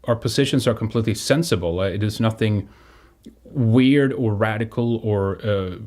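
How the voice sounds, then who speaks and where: A man's voice: on the low side, normal speed, darkish tone. A man from Sweden, a native Swedish speaker, hosting an online show and making an unscripted appeal to viewers, often repeating and restarting his words.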